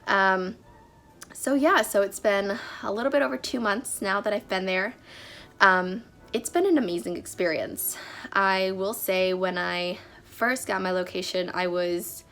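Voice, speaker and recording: A woman's voice: medium pitch, gravelly, fairly bright tone. A young woman vlogging casually, sounding a bit nasal from a cold, chatty with frequent fillers. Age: young adult. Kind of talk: casual vlog talk.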